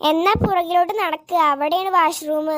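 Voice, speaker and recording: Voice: very high-pitched, steady tone, normal speed, pushed and bright, no echo, clean studio sound. A performer voicing a weary, exasperated mother in a comedy cartoon, explaining and scolding. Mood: brisk, firm. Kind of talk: Malayalam comedy cartoon dialogue.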